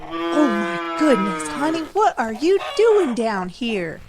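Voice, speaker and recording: Woman playing animated, fussing motherly wife. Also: on the high side, takes it slowly, a loud crowd. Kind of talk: audio drama acting.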